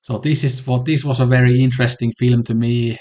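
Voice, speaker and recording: Finnish man, flat, easygoing film talk. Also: medium pitch, brisk. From Finland. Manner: relaxed, conversational, with fillers like "kinda" and "like". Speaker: film reviewer. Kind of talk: casual film review.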